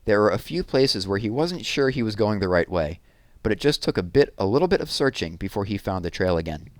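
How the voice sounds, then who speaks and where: A man's voice: lowish voice, expressive, brisk, no room sound, studio quality. A man reading a novel aloud in a scripted storytelling narration. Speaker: read-aloud reader.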